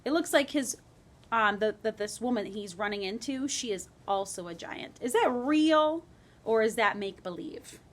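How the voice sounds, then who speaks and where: A woman's voice: a little high, lively intonation, normal speed. A woman teaching a grade school lesson, reading a story expressively and adding conversational asides and questions. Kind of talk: children's read-aloud lesson, storytelling and questions.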